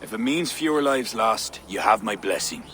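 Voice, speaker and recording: A proud, distrustful high king. His voice sits low and he weighs every word sternly. A faint noise floor runs under the game cutscene. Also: lively intonation, normal speed, sharp tone. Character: proud wary Irish high king, middle-aged. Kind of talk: video game cutscene acting.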